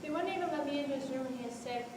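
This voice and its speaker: A grieving, fearful woman of nearly ninety on stage, acted by a woman. The voice is high and carries from far off in a heavily echoing hall. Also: racing, natural voice. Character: worried, grieving 89-year-old woman. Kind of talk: school one-act play acting. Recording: echoey hall from far off, faint noise.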